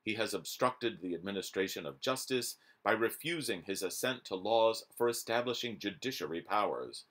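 Man reading aloud with very lively pitch. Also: moderate pace, few pauses. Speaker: reader. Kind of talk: reading a historical document aloud. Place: small room at home, indoors.

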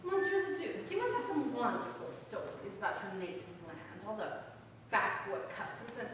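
A woman's voice: mid-range voice, sing-song, normal speed, a bit bright, a little hiss or hum. An actress playing an amused, frivolous aristocratic woman who talks in a gushing, affected way. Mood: teasing. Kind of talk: audio drama acting. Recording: echoey hall, voice from far off.

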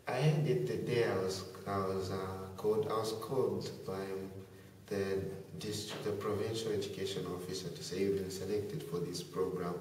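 Teenage boy from Zambia, deep voice, soft-spoken interviewee, far camera mic with roomy reverb. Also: steady tone, normal speed, slight background noise. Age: teens. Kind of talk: casual interview answers. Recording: echoey room from far off.

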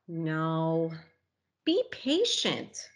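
Bossy picture-book caterpillar, voiced by a woman, exasperated and curt, highly varied pitch. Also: big pitch swings, extremely slow, exaggerated voice. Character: exasperated, bossy fellow caterpillar urging patience.

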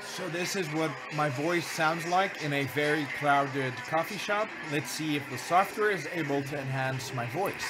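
Man talking over loud cafe chatter. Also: on the high side, normal speed, hardly any pauses. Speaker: test recording. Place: crowded coffee shop. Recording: noisy cafe phone recording.

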